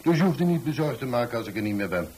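Radio drama: male actor as dreamy, polite thirties artist lodger, formal wording, rapid husky delivery. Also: mid-range voice, sing-song, darkish tone. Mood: polite, matter-of-fact. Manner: courteous formal phrasing, muddles names. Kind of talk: radio monologue character voice.

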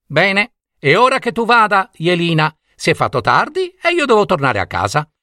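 A man's voice: high voice, sing-song, moderate pace, bright, forceful tone, no room sound, clean studio sound, voice pushed up, full character voice. A man voicing a wise, riddling female fox who keeps the door of a burrow and speaks in a teasing singsong. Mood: kind.

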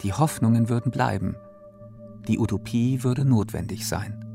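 A man's voice: mid-range voice, moderate pace, creaky. A man giving a literary reading of long, formal prose sentences. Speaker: reader of a literary text. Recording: prominent music behind the reading. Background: music.

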